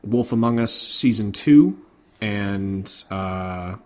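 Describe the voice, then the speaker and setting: A man's voice: lowish voice, slow pace, a little mellow. A man hosting a podcast, recounting news in an informal, conversational way. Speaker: podcast host. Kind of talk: casual podcast chat about game industry news.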